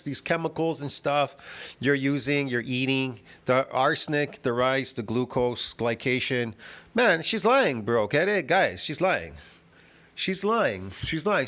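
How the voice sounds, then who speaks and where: A man's voice: medium pitch, expressive, a little slow, fairly bright tone. A man hosting a reaction video, talking in a rambling, repetitive and mocking way, often tossing in "get it?" and "bro". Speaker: host reacting to a video clip. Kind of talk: casual rambling commentary.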